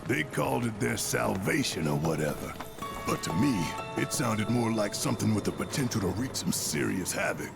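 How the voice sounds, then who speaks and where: An actor playing a gruff, tough male fighter in a video game, gravelly and blunt with colloquial phrasing. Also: fairly low pitch, expressive, normal speed. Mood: firm distrust. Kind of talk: video game character acting. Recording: loud music under the voice.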